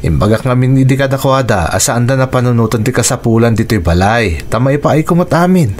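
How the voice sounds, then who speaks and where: An actor playing an earnest husband in a radio drama, pleading and explaining with a northern Philippine accent. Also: male voice, medium pitch, expressive, a little fast, a little hiss or hum, natural voice. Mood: defensive. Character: earnest husband defending his elderly parents.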